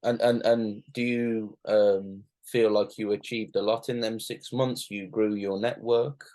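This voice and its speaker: A man's voice: fairly low pitch, fairly even intonation, a little slow, mellow. A man hosting a casual interview, asking brief questions and often answering with "mm-hmm". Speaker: interviewer, host.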